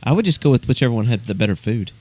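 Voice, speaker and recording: A man joking around in a casual podcast chat, with a laid-back manner and a gravelly voice. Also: medium pitch, lively intonation, rapid-fire, darkish tone.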